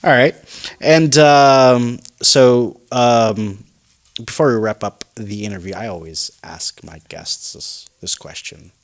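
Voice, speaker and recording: A man's voice: medium pitch, fairly even intonation, slow. A man hosting a casual interview, asking rambling questions full of restarts and fillers. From Saudi Arabia and the United States. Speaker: talk show host. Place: in Riyadh.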